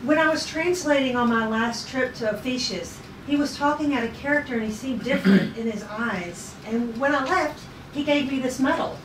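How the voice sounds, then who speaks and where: An earnest, feisty young woman navigator from a sci-fi script, acted out by a woman. Her voice sits fairly high, heard in an echoey room with clear noise around it. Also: moderate pace, natural voice. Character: earnest, feisty twenty-four-year-old female navigator in sci-fi. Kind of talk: table read acting. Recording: noisy echoey room, distant mic.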